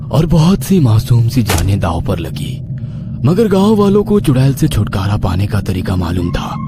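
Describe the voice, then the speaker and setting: Deep, animated male horror narrator over music. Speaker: story narrator. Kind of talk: dramatic scripted horror story narration in Hindi. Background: background music.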